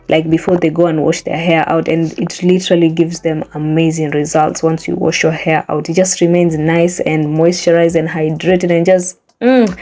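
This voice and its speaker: A woman's voice: fairly low pitch, dark tone. A young woman whose first language is Swahili, chatting casually to camera in a small bedroom about her hair routine, earnest and coaxing. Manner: chatty, earnest, coaxing the viewer with repeated tags. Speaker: hair care vlogger. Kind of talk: casual how-to chat to camera.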